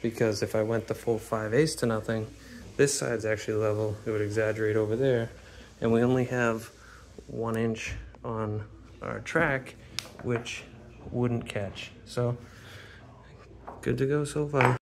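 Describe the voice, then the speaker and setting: A man casually talking through a carpentry build as he works, chatty and relaxed. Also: medium pitch, measured pace, a little hiss or hum. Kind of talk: casual build vlog narration. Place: workshop and home interior.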